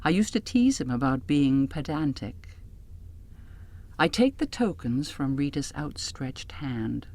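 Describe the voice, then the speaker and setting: Low-voiced woman narrating a literary audiobook. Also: very animated, slow, darkish tone. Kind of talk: scripted literary narration in first person.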